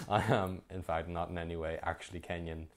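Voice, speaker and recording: A young Irish man co-hosting a podcast, chatting quickly and casually, laughing often. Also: deep voice, steady tone. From Ireland. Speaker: podcast co-host. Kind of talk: casual gaming chat.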